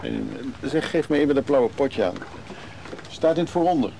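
An actor playing a gruff, guarded man who lives on a leaky boat, speaking colloquially in a naturalistic radio drama. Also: mid-range voice, lively intonation, moderate pace, noisy background. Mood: guarded, wry.

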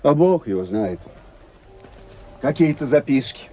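An actor playing a proud, hurt old army staff captain in a radio play, gruff, brusque and bitter. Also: male voice, medium pitch, big pitch swings, a little slow, mellow, faint music behind. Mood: scornful. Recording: noisy old radio drama recording.

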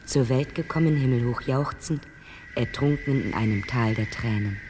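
An actor playing a calm, cryptic oracle who speaks in riddles, unhurried and enigmatic, in a radio play. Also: male voice, medium pitch, lightly acted. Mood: serene. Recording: long reverb, noisy ambience.

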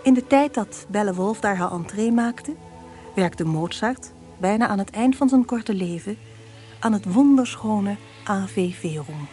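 A woman's voice: mid-range voice, lively intonation, unhurried, over music. A woman narrating a radio drama in a scripted storytelling style.

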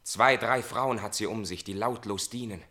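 A grave avenger of Greek tragedy, returned in secret, in a radio play. His voice is low yet forceful and tense. He declaims solemn, urgent lines in standard stage German. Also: normal speed. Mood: grave, measured.

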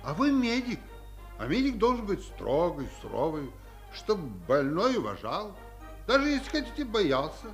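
A man's voice: high voice, wildly swooping pitch, moderate pace, soft background music, full character voice. An actor playing a fussy, officious but fatherly army doctor in a 1950s Soviet radio play. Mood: exasperated lecturing.

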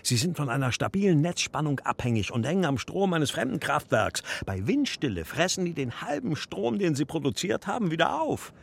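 An actor playing a self-righteous, bossy know-it-all crusader who lectures pompously in a radio drama. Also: male voice, fairly high pitch, big pitch swings, a little fast, creaky, hardly any pauses, husky, heavily acted. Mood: lecturing, conspiratorial.

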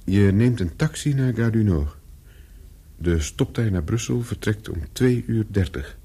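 Husky, low-voiced calculating young spy. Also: male voice, expressive, unhurried, mellow, exaggerated voice. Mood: brisk, instructing. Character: calculating young male spy, persuasive, looking back as narrator. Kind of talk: radio play dialogue and first-person narration.

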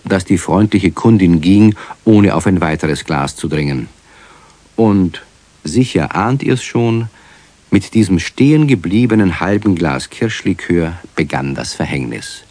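An actor as the friendly narrator of a children's radio play, telling the tale in a measured, expressive way. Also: male voice, low voice, no echo. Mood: knowing, friendly. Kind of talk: scripted children's story narration.

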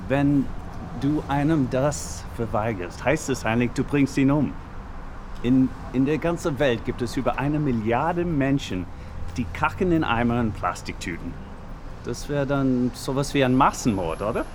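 A man's voice: medium pitch, lively intonation, measured pace, natural voice. An actor playing a poor, plainspoken man speaking German in a radio drama. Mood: indignant. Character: poor American man, plainspoken, resigned yet indignant. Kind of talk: radio drama, documentary-like interview. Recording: noisy outdoor urban street with traffic. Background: outdoor traffic noise.

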